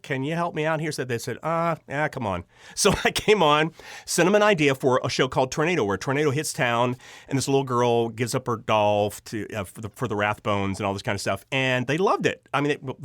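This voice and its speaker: A man's voice: medium pitch, a bit bright, no room sound, clean studio sound. A middle-aged American man telling personal stories conversationally as an interview guest, acting out bits of remembered dialogue. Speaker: podcast guest, audio drama writer. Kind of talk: animated personal storytelling in an interview.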